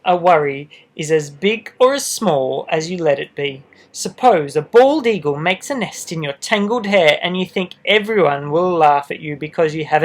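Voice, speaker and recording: A man reading a children's storybook aloud expressively, adding gentle asides for young listeners. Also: a little high. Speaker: storybook reader. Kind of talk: children's picture book read-aloud.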